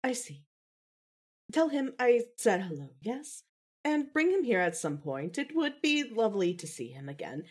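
Woman acting a grieving, high, husky young woman. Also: big pitch swings, exaggerated voice. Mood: forced composure. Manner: halting, formal phrasing. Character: grieving young woman holding back tears, politely composed. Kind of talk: audio fan fiction drama dialogue.

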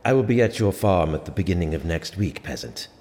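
An actor playing a firm, measured sheriff who speaks formally and with authority in a medieval audio drama. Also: male voice, deep voice, lively intonation, a little mellow. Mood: stern, commanding. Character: commanding, measured sheriff with some compassion. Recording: long hall reverb, faint ambient noise.

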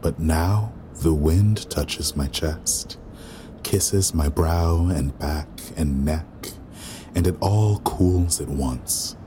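A man's voice: extremely low pitch, extremely slow, noisy background, heavily acted. A man playing a weary, resentful waiter who narrates an audio drama in a dry, sardonic inner monologue. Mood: brooding, calming. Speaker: lead actor and narrator.

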